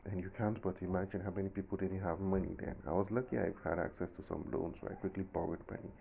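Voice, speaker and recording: A man's voice: low-pitched, fairly even intonation, fast, vocal fry, phone-quality sound, a little hiss or hum. A man in his forties with a Nigerian accent, giving his own emphatic, indignant opinion in a monologue. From southern Nigeria. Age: 40s. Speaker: commentator.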